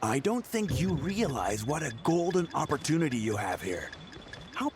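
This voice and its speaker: Unscrupulous male newspaper boss for a game: higher-set voice, scornful, condescending, very animated. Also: normal speed, noisy background. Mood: scornful, impatient. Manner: condescending, hectoring, slangy. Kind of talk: video game voice acting.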